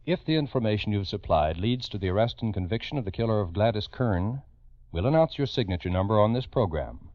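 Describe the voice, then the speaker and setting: A man with a low voice narrates like a 1950s radio crime host. He is solemn and dramatic, leaning on his words as he addresses listeners. The sound is dry and dulled like an old broadcast. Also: expressive, a little fast, dry recording. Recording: dull vintage radio recording.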